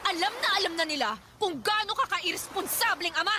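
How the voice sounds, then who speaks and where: An actress playing a fierce, exasperated young mother who scolds in rapid-fire questions in a Tagalog radio drama. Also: extremely high pitch. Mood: furious, scolding. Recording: noisy street ambience with vehicles.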